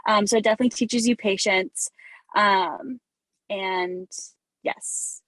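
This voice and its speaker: A woman's voice: medium pitch, fairly even intonation, slow, a bit bright, no echo, rough recording. A young woman on a video call, a student panelist talking casually with frequent fillers. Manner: chatty, lots of "like" and "um".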